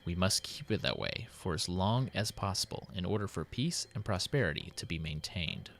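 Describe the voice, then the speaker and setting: Man acting a deep-voiced, solemn city president. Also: expressive, normal speed, vocal fry, fairly bright tone, heavily acted. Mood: solemn, intrigued. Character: worried, dutiful city president dictating his diary. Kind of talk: dramatic in-character diary reading.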